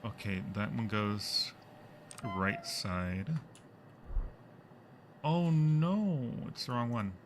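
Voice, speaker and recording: Guy streaming a horror game, slow and jokey, talks to himself, noisy background. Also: on the low side, big pitch swings. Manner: playful, jokey, muttering to himself. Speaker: live streamer. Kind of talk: casual horror game commentary.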